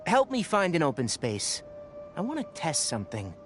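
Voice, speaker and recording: An actor playing a calm, clinical young scholar and inventor in a video game, explaining things precisely and matter-of-factly. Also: male voice, on the high side, moderate pace, loud music behind the voice. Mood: focused.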